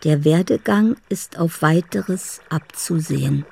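An actress playing a dreamy, lovelorn woman in a radio drama, husky and gravelly, speaking in a deliberate, poetic way. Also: sits low, mellow. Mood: musing. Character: dreamy, lovelorn woman living in her own fantasy.

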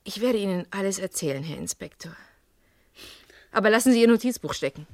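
In a dry studio, an actress plays a defiant young woman student in a vintage radio crime drama. Her mid-pitched voice is curt and haughty, evasive as she answers questions. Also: animated, a little fast, dry recording, close to an everyday voice. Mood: grave, confessing.

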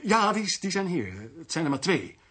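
An actor playing a fat, domineering, irritable security official in a radio drama, curt and impatient. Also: male voice, high voice, big pitch swings, normal speed, pushed and bright, slightly rough, heavily acted. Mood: deferential.

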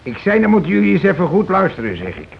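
A man's voice: high-pitched, huge pitch swings, fast talker, gravelly, heavily acted. An actor in a radio play as a tipsy, blustering working-class husband and union man, blunt and colloquial, repeating what he hears. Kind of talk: naturalistic radio play dialogue. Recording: muffled, noisy old radio broadcast recording.

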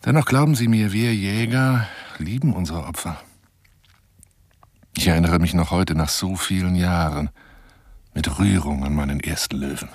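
An actor playing a garrulous, wooing lion hunter in a radio play monologue, theatrical and coaxing, rambling between exclamations and whispers in a husky, gravelly voice. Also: male voice, deep voice, normal speed, no echo, clean studio sound. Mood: nostalgic, suspenseful. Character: garrulous, self-styled lion hunter wooing a woman by telephone.

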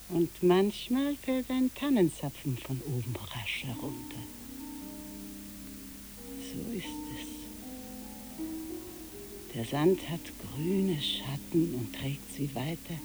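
A woman's voice: on the low side, animated, slow pace, pauses often, music in the background. An actress playing a dreamy, eccentric boat-rental woman in a literary radio play, musing and then giving orders. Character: dreamy, eccentric woman who rents out boats.

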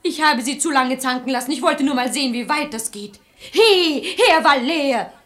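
A highish-pitched, bossy maidservant in a classic radio comedy. She is brisk and resourceful, ordering people about with exasperated common sense. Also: lively intonation, fairly bright tone. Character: sharp-tongued, resourceful maidservant, bossy matchmaker of quarrelling lovers. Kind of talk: German radio play of classic verse comedy.

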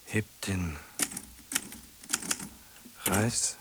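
An actor in a radio play playing a lonely, brooding man who mutters haltingly to himself in fragments. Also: sits low, music in the background. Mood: absorbed muttering. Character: lonely, brooding man muddling through translating a pop song.

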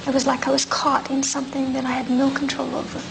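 An American woman recounts her past in a subdued way. Her voice is high and flat, with a lot of creak. The archive interview recording is noisy. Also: fast talker. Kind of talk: spoken personal recollection. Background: noise.

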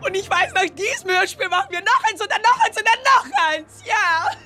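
Effusive diva of the studio: high voice, gushing, hesitant, emotional, music behind. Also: female voice, expressive, a little fast, sharp tone, full character voice. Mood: moved, gushing. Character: effusive radio actress giving gushing thanks to colleagues.

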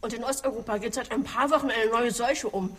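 An actress playing a matter-of-fact refugee and former police technician, earnest and direct, in a radio drama. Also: female voice, fairly high pitch, steady tone, fairly quick, fairly bright tone. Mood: grave. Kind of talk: German radio drama acting. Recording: faint background ambience noise.

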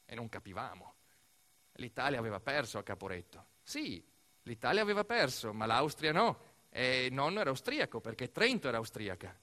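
A man's voice: medium pitch, very animated, moderate pace, sharp tone. A man performing a theatrical storytelling monologue as actor-narrator, speaking dramatically in short phrases. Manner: dramatic, short phrases, as a boy recalling family. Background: music.

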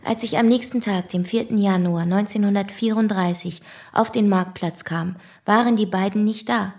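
Precise woman witness, mid-pitched, acted out. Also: steady tone, moderate pace, darkish tone, natural voice. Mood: factual, restrained. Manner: plain, precise, formal recounting. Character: matter-of-fact female witness recounting her two suitors. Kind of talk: German radio drama, first-person testimony.

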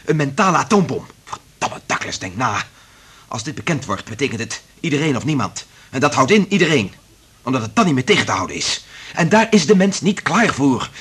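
Husky, intense male scientist. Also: mid-range voice, sing-song, a little fast, fairly bright tone, heavily acted. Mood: agitated, forceful. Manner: earnest, emphatic, dramatic. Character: anxious, principled male research scientist, Dr Frank. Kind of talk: Dutch radio drama acting.

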